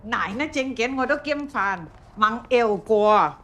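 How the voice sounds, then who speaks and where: A woman's voice: on the high side, expressive, normal speed, fairly bright tone, a little hiss or hum. An actress playing a woman who runs an adoption center, speaking in plain, everyday conversation. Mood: warm. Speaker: film character.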